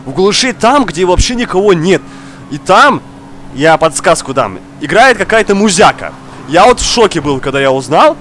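Male gaming streamer, young adult, high swooping voice, slightly unwell, excitable and chatty, repeats himself. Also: normal speed, slight background noise. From outside Russia. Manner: animated, exclaiming, repeats words, talks to viewer. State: slightly ill, coughing now and then. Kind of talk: excitable casual gameplay commentary. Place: indoor room, seated at racing wheel.

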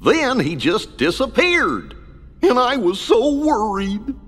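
A man voicing a good-hearted, slow-witted cartoon dog in a video game, with a husky, folksy, bumbling drawl. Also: high-pitched, sing-song, a bit bright, soft background music, exaggerated voice. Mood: worried. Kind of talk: video game cutscene voice acting. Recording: long hall reverb.

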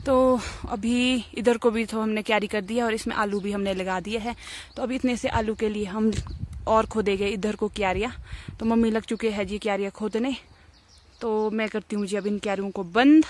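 A woman's voice: medium pitch, fairly even intonation, normal speed, a little mellow, slight background noise. A young woman from Himachal Pradesh chatting to her viewers as she plants potatoes outdoors, filmed on a phone. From Himachal Pradesh, India. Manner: chatty, explains farm work to viewers. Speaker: vlogger. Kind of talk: casual narration to camera. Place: hillside farm field outdoors. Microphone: phone camera, arm's length and set on ground.

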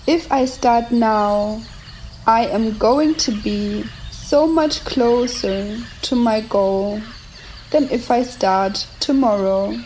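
Very slow-paced woman guiding a meditation. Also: a little high, a little mellow, slight background noise, rough recording. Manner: measured, short phrases with long pauses. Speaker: guided meditation narrator. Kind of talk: slow scripted guided meditation with affirmations. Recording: nature ambience behind the voice. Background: nature and ambient sounds.

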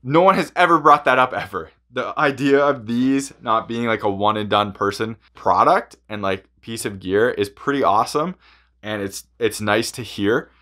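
A man's voice: medium pitch, normal speed, bright, forceful tone. Casual man reviewing sports gear.

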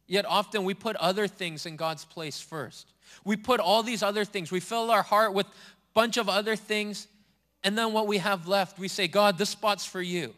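Pastor, male, higher register, earnest repetitive preaching in a church hall with a long echo. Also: steady tone, normal speed, sharp tone. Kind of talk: sermon. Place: church sanctuary.

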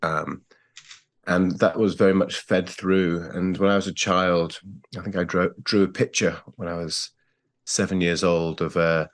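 A man in his forties from England with an English accent, recalling his family in a thoughtful, measured way on a video call. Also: sits low, level tone. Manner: thoughtful, measured, with frequent restarts. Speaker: guest. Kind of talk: reflective interview talk. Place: plain-walled home room. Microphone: earbuds on a video call.

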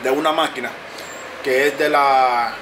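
A man, a barber, talking to his viewers in a casual, earnest way, often repeating himself and pausing with fillers. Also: medium pitch, steady tone, noisy background. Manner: earnest, repetitive, pauses with fillers. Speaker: barber and video creator. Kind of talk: casual talk to camera. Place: closed barbershop, indoors.

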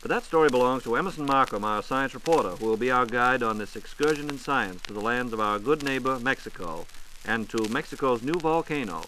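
A man's voice: medium pitch, animated, fairly quick, sharp tone. An American man hosting a scripted 1940s radio science programme and putting questions to a reporter. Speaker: radio host. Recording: faintly noisy 1940s radio transcription disc.

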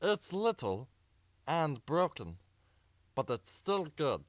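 A man's voice: on the high side, big pitch swings, slow, dry recording, voice pushed up. A man voicing a small, tender, childlike alien creature from a cartoon in an impression. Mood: tender, wistful.

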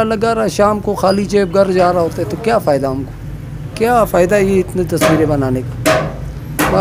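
A man in his fifties from Pakistan, an artist being interviewed in his workshop, talking earnestly about his hardships with many filler words. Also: high-pitched. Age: 50s. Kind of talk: casual interview. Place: cluttered workshop, indoors. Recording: noisy background.

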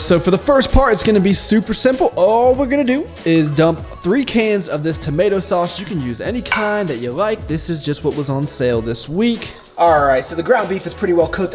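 Upbeat chatty man in his twenties. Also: on the high side. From Texas. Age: 20s. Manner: upbeat, chatty, joking asides to viewers. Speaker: fitness vlogger. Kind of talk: casual vlog talk to camera. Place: gym, outdoors walking, home kitchen. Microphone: camera mic, handheld at arm's length.

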